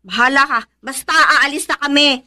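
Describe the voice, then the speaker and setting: A woman's voice: high-pitched, fairly even intonation, fairly quick, sharp tone. A woman playing a plaintive but defiant young job seeker in a Filipino radio drama. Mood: exasperated, defiant.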